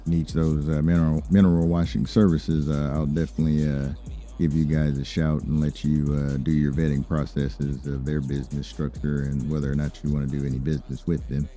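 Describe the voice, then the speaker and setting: A man improvising as an obliging lawyer and crime boss in a role-play game, talking business politely and haltingly with many fillers. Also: extremely low pitch, little pitch movement, mellow, faint music behind. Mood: easygoing, obliging. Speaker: streamer role-playing in a game. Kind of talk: improvised in-character business talk.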